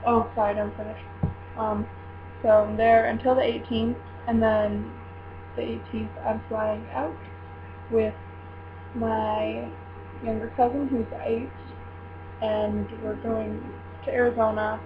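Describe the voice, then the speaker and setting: A woman's voice: on the high side, flat delivery, takes it slowly, pauses often, narrow, tinny audio. Rambling young woman over mains hum. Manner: rambling, filler-heavy planning talk. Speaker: vlogger. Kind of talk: casual chat.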